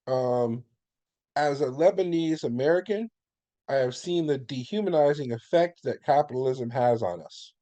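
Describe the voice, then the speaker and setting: Guy moderating a zoom panel, reads questions slow, warm mellow voice. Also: mid-range voice, dull audio. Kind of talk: reading audience questions on a video-call panel. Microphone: video call.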